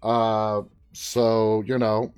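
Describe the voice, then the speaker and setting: A man's voice: lowish voice, completely flat delivery, very slow, a little mellow, clean tone. A man from New York with a New York accent, hosting a casual live show and chatting as he reads viewer comments. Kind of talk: casual live-stream chat.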